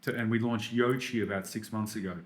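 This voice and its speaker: Australian man, 30s, guest on a business podcast, low-pitched, excitable and rambling. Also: a little fast. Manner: enthusiastic, chatty, many restarts and fillers. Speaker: podcast guest, restaurant operator. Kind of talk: casual interview chat.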